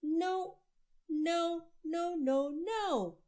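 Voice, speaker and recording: A woman voicing a stubborn, loud little boy from a storybook, emphatic and given to yelling. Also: very high-pitched, extremely slow, voice pushed up, exaggerated voice. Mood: defiant.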